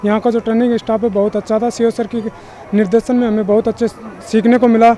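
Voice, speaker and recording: A man's voice: high voice, little pitch movement, fast talker, dark tone. A man from Jaipur, a constable recruit, speaking formally and fluently. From Jaipur, Rajasthan, India.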